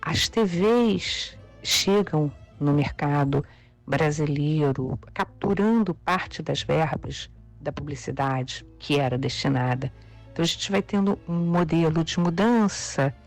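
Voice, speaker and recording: An interviewed expert explaining in a thoughtful way, pausing often with fillers. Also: medium pitch, faint music behind.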